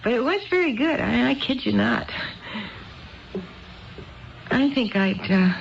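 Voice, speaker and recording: A woman's voice: a little high, wildly swooping pitch, measured pace, creaky, dull audio, exaggerated voice. An actress playing an eager, chatty, apologetic housewife in a 1970s radio drama, rambling and hesitant as she repeats herself. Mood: tipsy, confiding.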